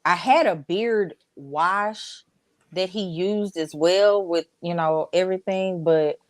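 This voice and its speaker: A woman's voice: lowish voice, takes it slowly, dry recording. A woman from Dallas with a Texas accent, a business owner chatting candidly as a podcast guest. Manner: candid, chatty, storytelling with fillers. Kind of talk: casual podcast interview.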